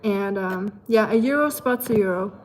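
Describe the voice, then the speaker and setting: A woman's voice: mid-range voice, normal speed, poor audio. A young woman with a German accent hosts a livestream, chatting casually and playfully and reading viewer messages aloud. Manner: chatty, laughs often, playful asides. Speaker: livestream host.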